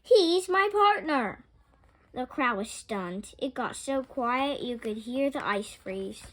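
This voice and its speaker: High-pitched woman reading a children's story. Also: slow. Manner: expressive, dramatic storytelling. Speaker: storytime reader. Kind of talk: children's picture-book read-aloud.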